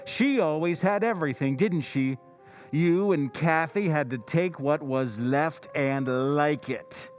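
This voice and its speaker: A man's voice: fairly high pitch, slow, soft background music. An actor playing the Whistler, an all-knowing male narrator who taunts a jealous woman in a radio drama. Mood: taunting. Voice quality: insinuating, taunting.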